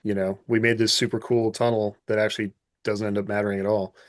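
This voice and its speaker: A man's voice: on the low side, little pitch movement, fast. A man co-hosting a podcast, adding casual remarks to the discussion. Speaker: podcast co-host.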